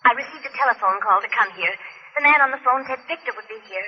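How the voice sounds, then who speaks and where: A secretive, anxious young woman from an old radio mystery. Her voice is high, husky and full of breath. The sound is muffled and dated. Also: fast talker. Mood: hushed, anxious. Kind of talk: vintage radio drama acting. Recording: dull old radio recording.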